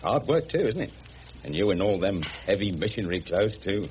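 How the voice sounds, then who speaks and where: An actor playing a cheeky, easygoing working-class English mechanic with a Cockney accent, chatty and deferential, in a radio drama. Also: male voice, sits low, sing-song, normal speed. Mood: jolly, chatty. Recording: muffled, noisy old radio drama recording.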